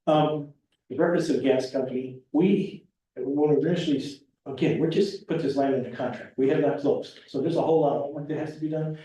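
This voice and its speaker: A soft-spoken man, rambling and restarting as he addresses a town meeting as the applicant. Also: on the high side, moderate pace. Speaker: property applicant. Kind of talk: public meeting remarks. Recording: echoey room from far off.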